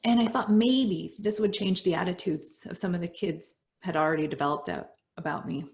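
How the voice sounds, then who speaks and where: A middle-aged woman whose first language is Spanish, reading her personal story in an earnest, measured way that drops softly at the ends of lines. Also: lowish voice. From United States. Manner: earnest, measured, dropping soft at line ends. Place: home, virtual event.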